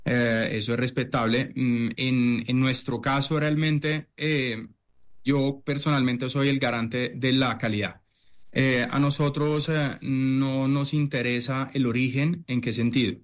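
Man, from Italy, middle-aged, chef, interview answers, halting, many fillers. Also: mid-range voice, steady tone. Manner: hesitant, many fillers and restarts. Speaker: chef and restaurant owner.

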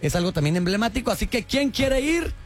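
A man's voice: high-pitched, very animated, brisk, faint music behind. A man, co-hosting radio in an upbeat, agreeable manner. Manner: upbeat, agreeable, laughs often. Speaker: radio co-host. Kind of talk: casual radio banter.

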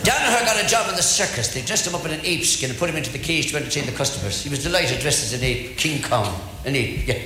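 A man's voice: a little high, animated, fast, hardly any pauses. A man from Ireland with an Irish accent telling stand-up jokes as a storyteller, repeating phrases for effect. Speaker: stand-up comedian. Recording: echoey hall, faint background noise.

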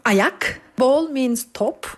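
A woman from Turkey being interviewed, bubbly and quick to laugh. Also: high-pitched.

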